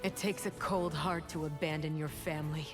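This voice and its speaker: A woman playing a weary, defiant dark winged woman with dry, biting sass in dramatic video game lines. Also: deep voice, fairly even intonation, normal speed, close to an everyday voice. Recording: music behind the voice.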